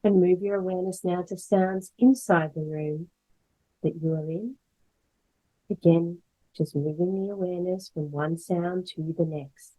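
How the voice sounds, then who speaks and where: Low, husky woman guiding meditation. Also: fairly even intonation, rough recording. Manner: measured, gentle phrasing with pauses. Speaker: yoga instructor guiding a meditation. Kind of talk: guided meditation, slow soothing instruction.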